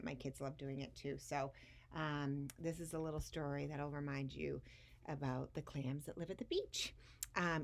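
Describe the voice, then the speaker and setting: Low-pitched woman reading a children's story. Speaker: children's story time host. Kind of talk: read-aloud storytelling for young children.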